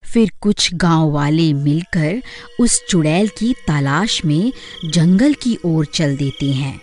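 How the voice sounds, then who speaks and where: A woman's voice: deep voice, lively intonation, takes it slowly, a little mellow, soft background music. A woman narrating a scripted horror story as its storyteller.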